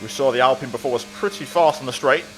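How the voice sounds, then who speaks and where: A man's voice: medium pitch, quick pace, a little hiss or hum, poor audio. A man giving casual, upbeat gameplay commentary as a video creator.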